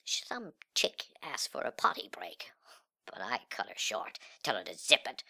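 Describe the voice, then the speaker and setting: A man performing a dramatic monologue as a sullen, cocky, nihilistic young slacker, with breathy, slangy, sneering delivery that swings between muttering and outbursts. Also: medium pitch, sing-song, measured pace, pushed and bright, in a whisper, heavily acted. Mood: cocky, agitated. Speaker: reader of a literary monologue. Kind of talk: dramatic first-person monologue in slangy speech.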